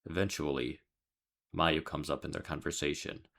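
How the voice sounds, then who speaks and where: A man's voice: extremely low pitch, fairly even intonation, moderate pace, gravelly, fairly bright tone. A man reading scripted storytelling narration as he reviews a video game. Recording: music under the voice. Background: background music.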